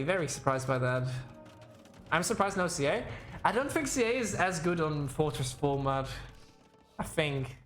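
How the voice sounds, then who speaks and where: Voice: mid-range voice, fairly bright tone. Casual, rambling game caster in an echoey room. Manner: rambling, thinking aloud, self-correcting. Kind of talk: casual live esports commentary, chatting with viewers.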